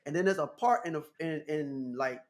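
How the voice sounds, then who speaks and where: An American man in his thirties, a guest musician telling stories in a casual interview, animated and laughing often. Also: normal speed. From United States. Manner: animated, laughing, jumps into high voice for quotes.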